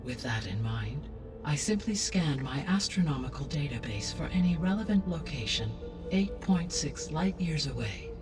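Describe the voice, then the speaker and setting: A calm, learned male AI from a video game, set back from the microphone with music playing behind. He speaks formally and precisely, a benevolent guardian weighing every word. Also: fairly high pitch, far from the mic. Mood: precise, matter-of-fact. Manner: measured, precise, formal diction. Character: calm, benevolent, erudite male artificial intelligence guarding life on Earth. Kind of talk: scripted video game dialogue.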